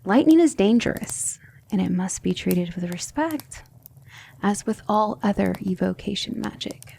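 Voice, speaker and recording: A woman playing a patient, exacting demonic magic tutor in an ASMR roleplay, speaking in a measured, formal and gentle way. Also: medium pitch, expressive, creaky, slight background noise. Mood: careful instruction.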